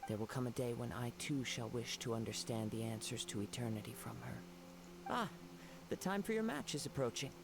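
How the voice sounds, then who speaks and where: A man voicing a serene, poetic young wandering swordsman in a video game, speaking in a measured, formal, gentle manner. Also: medium pitch, animated, vocal fry, noisy background. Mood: calm, wistful.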